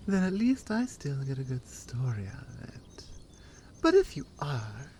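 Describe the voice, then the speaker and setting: A woman's voice: sits low, dark tone, a little hiss or hum, full character voice. A woman acting a bold, teasing Nordic warrior in an intimate ASMR roleplay, with a Nordic accent and a playful, confident manner. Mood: earnest, ambitious.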